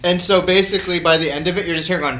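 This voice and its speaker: Rambling excitable guy, slightly high voice, roomy and noisy sound. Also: racing. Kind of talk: casual chat.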